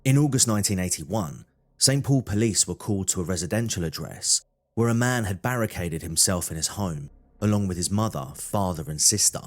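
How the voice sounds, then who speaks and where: A man's voice: deep voice, expressive, a little fast. A man narrating a true-crime documentary from a script.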